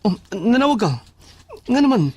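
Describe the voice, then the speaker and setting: A woman plays a concerned, protective sweetheart in an audio drama. The voice is husky and breathy, questioning, captured very dry and close. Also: fairly high pitch, big pitch swings, moderate pace, warm tone, no room sound.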